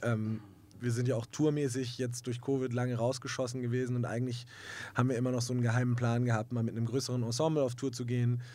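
A man who is a musician giving relaxed interview answers, rambling and filling his speech with hesitations. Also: mid-range voice, fast. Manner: relaxed, many fillers like "irgendwie" and "ähm". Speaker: musician guest.

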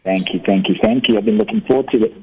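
A man's voice: lowish voice, very fast, slightly rough, low-quality recording. A man, a guest author telling his life story in an earnest, reflective way. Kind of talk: interview talk.

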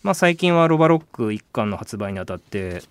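A man with a mid-pitched voice works as a podcast co-host, politely feeding questions to his partner. His delivery is brisk and very animated in intonation. The sound is close and dry, with music underneath. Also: clear, resonant tone, no room sound. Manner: polite, prompting with questions and interjections. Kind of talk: casual conversational radio talk.

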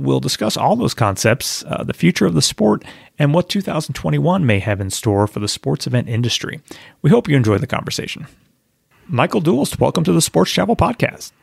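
Podcast host guy, gravelly voice, dead dry mic, reads the intro then chats. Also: medium pitch, no echo. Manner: smooth scripted intro, then relaxed questions. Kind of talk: podcast interview.

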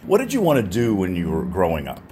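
An American man hosts a casual radio interview in a lowish voice with very lively intonation. Some ambient noise sits behind his questions. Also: quick pace. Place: stadium radio booth.

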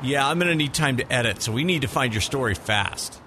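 A man's voice: mid-range voice, expressive, a little fast, noisy background, lightly acted. A man playing a weary, agreeable news cameraman in a radio drama.

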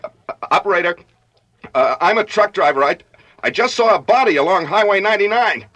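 Desperate, nervy drifter, animated 1940s radio noir. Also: male voice, high voice, fairly quick, highs cut off. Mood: agitated, hurried. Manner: hesitant, evasive, stammering when questioned. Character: desperate, nervous drifter posing as a truck driver. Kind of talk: 1940s radio drama acting, first-person noir narration. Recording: noisy 1940s radio broadcast recording.

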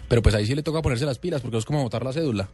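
A man's voice: medium pitch, rapid-fire, darkish tone, breathy edge. A man hosts a radio discussion with an easy, chatty delivery. He often hesitates and repeats words as he builds his point. His voice sits in a middle register, with faint music behind. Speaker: radio show host.

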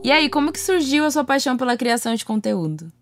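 A woman's voice: high voice, very animated, quick pace, over loud music. A woman with a Brazilian accent, hosting a podcast as she introduces and interviews a guest. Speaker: podcast host.

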